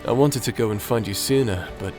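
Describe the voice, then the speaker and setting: A man's voice: fairly low pitch, brisk, music in the background, lightly acted. A man playing an irreverent, sly rogue companion in an ASMR audio roleplay, with a casual, wry way of talking.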